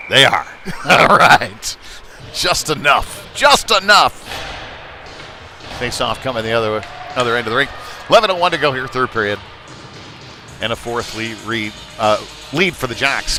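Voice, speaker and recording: A man giving live play-by-play commentary of a youth ice hockey game. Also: mid-range voice. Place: indoor ice rink. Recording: noisy rink ambience, bouncing knocks.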